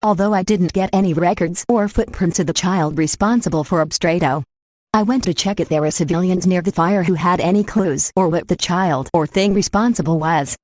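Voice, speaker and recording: A woman's voice: fairly low pitch, moderate pace, darkish tone, dry recording, lightly acted. A woman playing a television news reporter, delivering a scripted report in a matter-of-fact, informative way. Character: matter-of-fact female TV field reporter on eerie incidents.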